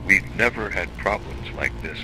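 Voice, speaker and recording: Man acting lower-voiced indignant businessman, rumbly noise. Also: normal speed, natural voice. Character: indignant man defending his franchise business. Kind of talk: amateur horror video acting. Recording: rumbling noisy found-footage clip.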